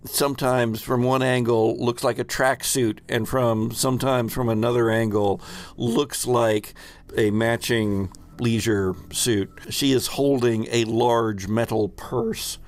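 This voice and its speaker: A man acting as game master, narrating an improvised tabletop story in a halting, amused way. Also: medium pitch, fairly even intonation.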